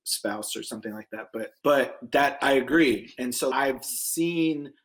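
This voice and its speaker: A man's voice: a little slow, a bit bright. A man from Egypt, a guest on a podcast, talking bluntly and emphatically in a casual conversation. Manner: emphatic, blunt, swears, big pitch jumps on key points. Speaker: podcast guest, small business owner.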